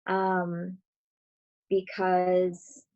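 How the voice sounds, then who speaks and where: A woman in her twenties answers interview questions over a video call. She is relaxed and chatty, full of fillers, and her voice sits a bit low. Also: very slow, low-quality recording. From Brazil, raised in Florida. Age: 20s. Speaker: dental student guest.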